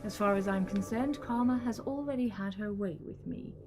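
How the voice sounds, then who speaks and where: A woman acting in an audio drama as a haughty, guilt-laden renegade villain, measured and dry. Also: medium pitch, music in the background, lightly acted. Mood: remorseful, defiant.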